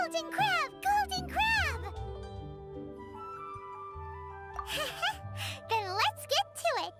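A woman voicing a tiny, bubbly floating fairy girl with a squeaky, childlike voice in an anime-style video game. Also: exaggerated voice. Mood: excited. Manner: bubbly, refers to self in third person. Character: tiny floating fairy girl companion, chirpy and food-loving. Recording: loud game music behind voice.

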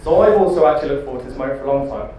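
A man's voice: mid-range voice, expressive, warm tone. British best man in an echoing hall. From Britain. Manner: quick, joking, chuckling between lines. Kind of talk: wedding best man speech. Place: large wood-panelled banquet hall, indoors. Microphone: handheld mic. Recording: very echoey hall with background noise.

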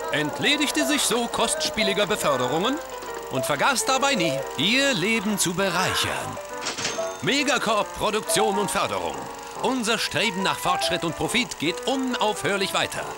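A man playing a slick, cheery announcer in an old-time corporate promotional film parody, upbeat and pompous. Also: high voice. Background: loud music.

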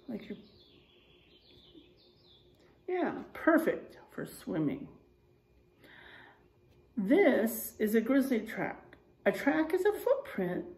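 A middle-aged woman, a kindergarten teacher reading a children's book aloud and pausing to explain things simply in a deliberate, expressive way. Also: on the high side. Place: indoors, in a room with drywall and posters.

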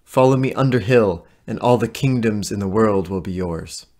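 An actor playing a seductive, menacing fae creature in an audio play, coaxing and taunting. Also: male voice, fairly low pitch, moderate pace, a little mellow, lightly acted. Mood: menacing, tempting.

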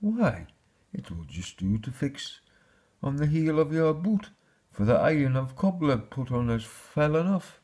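Guy doing a homely mum from a storybook, caring and musing, higher pitch. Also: lively intonation, measured pace, a little mellow, close to an everyday voice. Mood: pleasantly surprised. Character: thrifty, caring country mother in a fairy tale.

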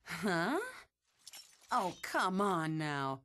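A woman's voice: on the low side, lively intonation, drawn-out words, sharp tone, slight background noise, exaggerated voice. An actress playing a cocky, sneering female player-killer in an anime game dub, boastful and mocking in a theatrical way. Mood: sneering, incredulous.

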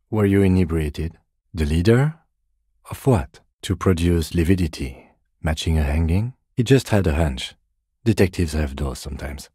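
A man voicing a composed, methodical police detective in a video game, with a measured, restrained, deadpan delivery. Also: rumbling low, breathy edge, no room sound, clean studio sound, exaggerated voice. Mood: calm, probing. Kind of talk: scripted game dialogue.

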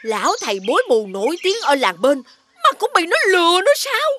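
A woman playing a scolding, sharp-tongued village aunt in a fairy-tale cartoon, nagging and sarcastic. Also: sits high, wildly swooping pitch, fairly quick, a little hiss or hum, full character voice. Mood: grumbling, exasperated. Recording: loud music behind the voice.

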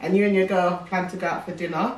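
A woman's voice: lowish voice, steady tone, quick pace, background noise. A young woman co-hosting, setting up scenario questions in a casual chat. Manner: reads out questions, plays along. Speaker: co-host asking questions. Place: living room, indoors. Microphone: far camera mic. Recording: echoey room from far off.

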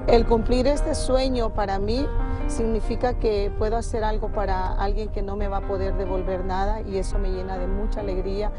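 A woman's voice: mid-range voice, little pitch movement, normal speed, over music. A middle-aged woman, giving a personal testimony in an interview. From Sonsonate, El Salvador. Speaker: interviewee, immigrant charity organizer.

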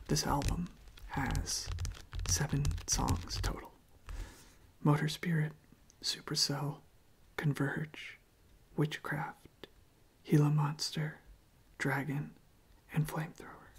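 A young man speaking in a hushed, breathy, mostly whispered ASMR style, unhurried and halting, close to the microphone. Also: fairly high pitch, a little hiss or hum. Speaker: ASMR video creator. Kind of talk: soft-spoken ASMR.

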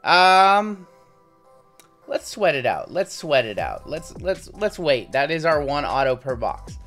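A man in his thirties with a sore throat and a slightly raspy voice, chatting casually through a pack opening. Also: on the high side, slow pace, soft background music. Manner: relaxed, chatty running commentary with frequent "uh"s. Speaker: card-breaking channel host. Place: indoor home studio with logo backdrop.

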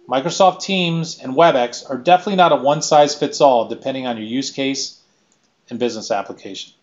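A middle-aged man delivers a scripted keynote at a measured pace, ticking through points one by one. His voice sits at an ordinary pitch, and the webcam audio from a small room sounds slightly dull and lo-fi. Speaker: keynote speaker. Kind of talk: scripted presentation.

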